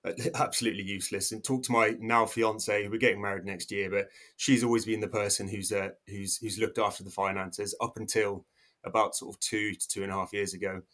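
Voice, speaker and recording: A man in his thirties with an English accent speaks in a fairly low voice. He talks as a podcast guest, casual and hesitant, often restarting his sentences and filling pauses. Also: steady tone, a bit bright. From South Africa. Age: 30s. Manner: hesitant, many restarts and fillers. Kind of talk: casual interview talk.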